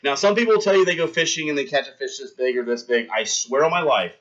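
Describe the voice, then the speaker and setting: A man's voice: mid-range voice, fast, muffled recording. A young man hosting a livestream, telling a rambling story in casual chat and talking to his viewers. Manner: rambling anecdote, talks to chat, shouts out followers. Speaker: livestream host.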